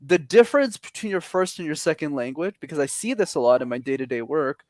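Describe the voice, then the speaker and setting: A male speaking coach giving advice in an online session, his fairly high voice rising and falling with a lot of animation. He talks with energetic emphasis, and the sound is dry and close, with no room in it. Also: fast talker, dry recording. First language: not English. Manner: energetic, emphatic, lively pitch swings. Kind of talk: webinar advice talk.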